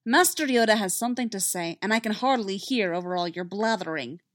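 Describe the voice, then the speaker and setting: A woman's voice: mid-range voice, brisk, dry recording, studio quality, natural voice. A woman doing a brusque alien councilor. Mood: impatient. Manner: loud, brusque.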